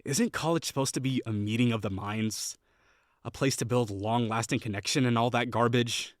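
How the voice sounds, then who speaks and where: A man's voice: medium pitch, no room sound, studio quality, natural voice. A young man playing a haughty, sarcastic college rival who is secretly lonely, in a scripted audio roleplay. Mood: wistful. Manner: sarcastic, scornful, quick to bristle.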